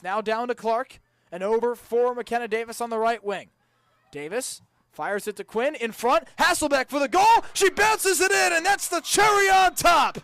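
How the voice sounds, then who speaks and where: A young man calling a college game as a radio play-by-play announcer, with brisk, precise calls that lift sharply on scoring plays. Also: very high-pitched, a bit bright.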